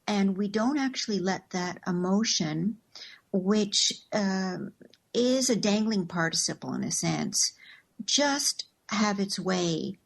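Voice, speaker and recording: A woman's voice: mid-range voice, lively intonation, slow, gravelly. Thoughtful woman explaining as a guest. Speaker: guest on a radio show. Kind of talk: conversational explanation.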